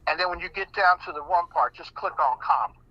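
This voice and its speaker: A man talking on a radio show in an animated, folksy way, often repeating words as he goes. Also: high-pitched, fast, dry recording, lo-fi sound. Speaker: guest speaker.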